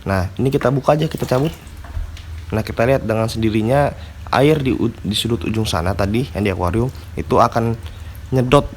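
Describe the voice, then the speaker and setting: A man's voice: lowish voice, a little fast, a little hiss or hum. A man with a stuffy nose from a cold, casually explaining aquarium care in a slangy, filler-heavy vlog style. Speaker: aquarium hobby vlogger. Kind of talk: casual tutorial chat.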